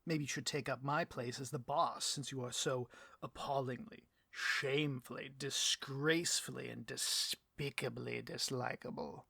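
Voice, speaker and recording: A man's voice: mid-range voice, fairly even intonation, measured pace, gravelly, sharp tone, husky, no room sound, exaggerated voice. A man playing a bloviating, petty supervillain boss in a comedic audio roleplay, with a pompous, melodramatic delivery and a taste for rants. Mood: irritated.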